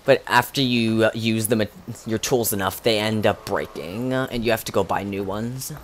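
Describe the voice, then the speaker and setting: A man's voice: on the low side, moderate pace, a little hiss or hum. A young man streaming a game, rambling casually with many restarts and fillers. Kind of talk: casual livestream commentary.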